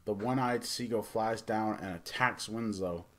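A middle-aged man hosting a casual, off-the-cuff review, speaking haltingly and stumbling over his words. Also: on the low side. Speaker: host of a movie review show.

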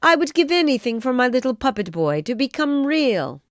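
A woman's voice: high-pitched, very animated, moderate pace, dry recording, clean studio sound, pitched above the performer's own voice. Woman doing a kind old carpenter. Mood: yearning.

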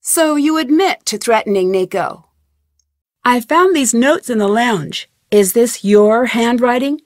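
A young woman detective in a game, polite and curious, presses people with earnest questions. Her voice sits a little high. The studio recording is dry and close, with no room sound. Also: animated, unhurried, no echo. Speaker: voice actor. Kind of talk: game voice lines. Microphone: studio mic, dry.

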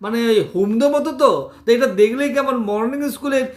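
A man's voice: very high voice, quick pace. A man with a West Bengal accent playing a teasing, easily flustered middle-aged lawyer husband in a theatrical domestic comedy audio play. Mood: mock-serious. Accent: West Bengal Indian. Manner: theatrical, sarcastic, hesitating for comic effect. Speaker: actor in an audio drama. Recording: roomy echo.

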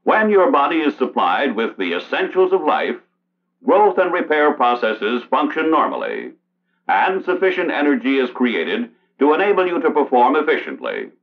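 An American man narrates an old instructional film in a mid-pitched, formal voice. His intonation rises and falls markedly while the pacing stays measured. The soundtrack is dull and dated. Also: huge pitch swings. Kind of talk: scripted 1960s instructional narration.

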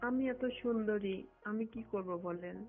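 A woman's voice: medium pitch, moderate pace. A woman from Bangladesh talking in a private phone conversation. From Satkhira, Bangladesh. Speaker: phone caller, government employee. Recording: muffled lo-fi phone recording with music.

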